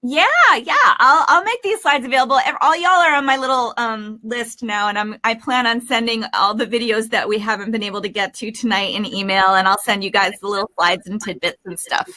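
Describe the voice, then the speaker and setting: A woman with a fairly high voice hosts an online class as a coach. She talks in a casual, encouraging way, as if chatting with her students. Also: fairly even intonation, brisk, few pauses, sharp tone. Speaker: host and voice acting coach. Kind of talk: webinar presenting, casual chat.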